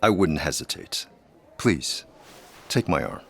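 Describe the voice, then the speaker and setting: Suave gentleman, very deep, gravelly. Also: very animated, exaggerated voice. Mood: gallant. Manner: courtly, candid, deliberate formal phrasing. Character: suave, calculating ambitious aristocratic gentleman, gothic horror. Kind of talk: gothic audio drama acting.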